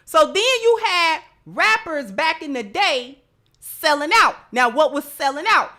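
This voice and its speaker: A woman's voice: high-pitched, very animated, a little slow, pushed and bright. A woman hosting a livestream with impassioned commentary, speaking emphatically and repeating phrases to drive points home. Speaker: livestream host. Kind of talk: impassioned livestream commentary to an audience, reading out chat messages.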